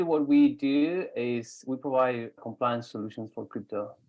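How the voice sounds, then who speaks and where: A man's voice: mid-range voice, darkish tone. A man from Argentina introducing himself and his company informally as a startup co-founder on an online call. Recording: dull lo-fi call audio.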